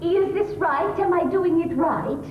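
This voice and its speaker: A woman's voice: very high voice, measured pace. A woman acting a chattering, anxious middle-class English wife in a stage comedy, gushing and needling her husband. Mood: chatty, needling. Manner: gushing, exclamatory, posh. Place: theatre stage. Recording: echoey stage recording, tinny, hissy.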